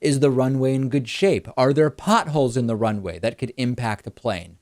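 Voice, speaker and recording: Male talk show host, mid-pitched, brisk and explanatory, dry studio mic. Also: a little mellow, no echo. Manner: brisk explanatory, rhetorical questions. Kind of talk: political talk show commentary.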